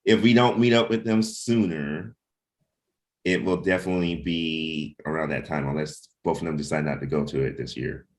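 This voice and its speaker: Man, very low-pitched voice, casual meeting talk, informal, checks in with listeners. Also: normal speed. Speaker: comic book creator leading a team meeting. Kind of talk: casual chat, planning and anecdotes.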